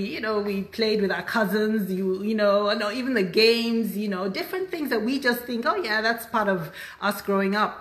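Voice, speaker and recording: A woman hosting a relaxed podcast chat, talking at a middle pitch. Her voice carries a roomy echo. She backs up her guest with frequent short affirmations. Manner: enthusiastic, frequent affirming interjections. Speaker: podcast host. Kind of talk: casual conversational interview. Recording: roomy, echoey sound.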